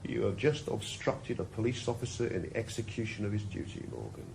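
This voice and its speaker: A gravelly stern police officer. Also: male voice, fairly low pitch, fairly quick, noisy background. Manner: stern, authoritative. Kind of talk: 1980s radio drama acting.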